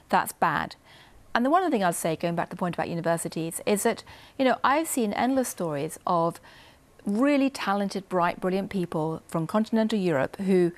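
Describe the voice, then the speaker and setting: A woman newspaper writer explaining economics fluently as a panel guest. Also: medium pitch. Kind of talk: economic analysis.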